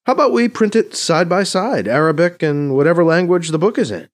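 An impatient, irreverent former pope from an audiobook, played by a male narrator. His pitch is raised and swoops widely, and he talks in blunt, sarcastic exclamations. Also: animated, brisk, natural voice. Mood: eager.

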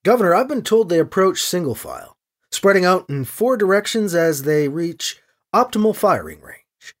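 A man's voice: high-pitched, expressive, moderate pace, voice pushed up. A man voicing a wry church agent priest in an audio drama, polite and probing with dry sarcasm. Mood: reporting carefully. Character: wry, smooth-talking male priest and church agent, deferential yet sarcastic.

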